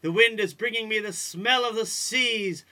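A performer playing a weary man driven mad by the noise in his house, pleading and exasperated, with long drawn-out cries in a told folktale. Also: mid-range voice, sharp tone, lightly acted. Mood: dreamy. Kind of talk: acted folktale storytelling.

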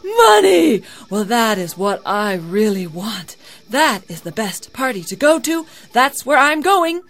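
A woman storyteller voicing a greedy, envious, excitable male villager in a folktale, calling out with emphatic, drawn-out words. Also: sits high, heavily acted. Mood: greedy excitement.

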